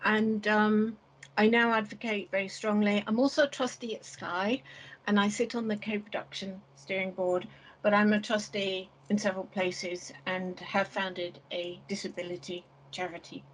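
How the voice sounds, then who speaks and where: A woman carer and advocate introduces herself on a panel call, speaking haltingly with many pauses. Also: medium pitch, level tone, fairly bright tone, low-quality recording. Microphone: video call.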